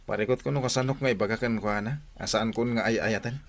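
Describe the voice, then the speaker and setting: A man's voice: fairly low pitch, fast talker, lightly acted. An actor with a Filipino accent playing a teasing but troubled young man confiding in his buddy in a radio drama.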